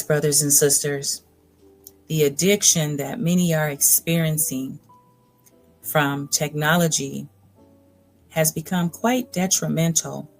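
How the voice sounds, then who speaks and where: A woman reads a nonfiction book aloud as a storytime host. Her voice sits slightly low and moves at a very slow, deliberate pace. She sounds earnest and instructive, with faint music playing underneath. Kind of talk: audiobook-like reading of nonfiction with earnest commentary. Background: music bed.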